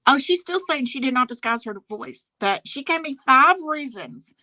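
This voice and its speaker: A woman's voice: high-pitched, animated, normal speed, sharp tone, rough recording. A woman with a Southern American accent hosting a casual live-stream chat, emphatic and repeating phrases for stress. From Southern United States. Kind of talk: casual drama commentary chat. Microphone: phone on speakerphone.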